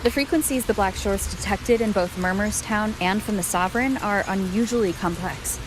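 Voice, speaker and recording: An actress playing a composed, thoughtful young woman adventurer in a video game, calm and earnest. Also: mid-range voice, normal speed, noisy background, close to an everyday voice. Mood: explanatory.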